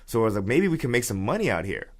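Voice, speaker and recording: A man's voice: medium pitch, very animated, racing. A man, a stand-up comedian from the United States, telling stories casually on a podcast, repeating words as he goes. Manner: storytelling, repeats words, acts out quotes.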